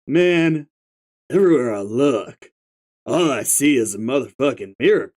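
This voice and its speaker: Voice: mid-range voice, expressive, unhurried, a little mellow. A reader voicing a young male stoner clown troll with a slurred, clownish drawl who swears lazily and marvels at everything. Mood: lazy awe. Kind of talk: reading a webcomic aloud with voices.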